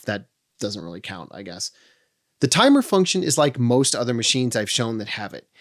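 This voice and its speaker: A man hosting a product walkthrough, explaining features step by step with dry humorous asides. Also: mid-range voice. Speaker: host of a how-to channel on second-hand audio gear.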